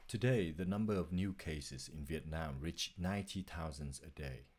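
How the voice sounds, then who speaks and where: A man's voice: a bass voice, normal speed, darkish tone, slightly rough. A man reading a scripted narration for a slide presentation as its presenter.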